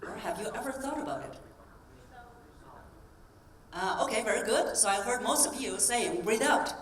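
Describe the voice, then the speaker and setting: A woman voice coach giving an energetic keynote talk, coaxing the audience through exercises on stage. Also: mid-range voice, normal speed. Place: large conference hall on stage. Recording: roomy hall, distant mic, faint ambience.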